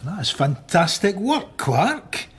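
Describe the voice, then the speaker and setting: An actor playing a dogged, sardonic police inspector in a radio drama, with a brusque, probing way of talking. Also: male voice, high-pitched, very animated, slow, a bit bright, slightly rough, exaggerated voice. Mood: intent, brisk.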